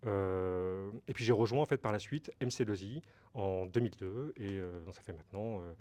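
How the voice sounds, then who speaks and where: A man's voice: deep voice, moderate pace, dark tone. A middle-aged man from Brittany, France, answering interview questions as a company director, explaining hesitantly with many fillers and repeated words.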